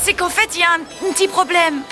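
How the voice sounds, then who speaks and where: A woman's voice: extremely high pitch, a little fast. A woman voicing an eager, worried youngster in a dubbed cartoon. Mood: worried, urgent. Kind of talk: cartoon dub acting. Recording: music behind the voice.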